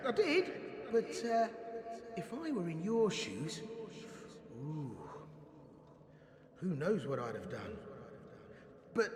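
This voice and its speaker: An actor playing a wheedling, two-faced rogue in a video game, with a sly, ingratiating, sing-song delivery. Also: male voice, high-pitched, echoey room, big-room sound, exaggerated voice. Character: wheedling, two-faced rogue pleading friendship after betrayal. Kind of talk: theatrical video game acting.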